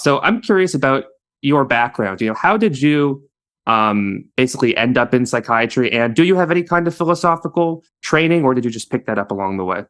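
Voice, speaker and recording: A man hosting a podcast, asking a guest measured, curious questions. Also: mid-range voice, a bit bright. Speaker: podcast host. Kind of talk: podcast interview.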